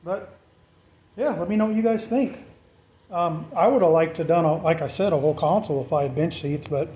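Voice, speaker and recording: A man's voice: a little high, brisk, mellow. A man narrating a do-it-yourself project in a casual, off-the-cuff way, thinking aloud informally as he goes. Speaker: DIY vlogger.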